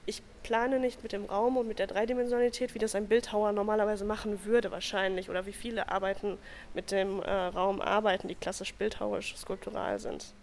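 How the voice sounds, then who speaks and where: A woman's voice: mid-range voice, little pitch movement, a little fast. A young woman artist answering interview questions in a casual, thoughtful way, sometimes trailing off. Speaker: artist, art school graduate. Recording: faint background noise.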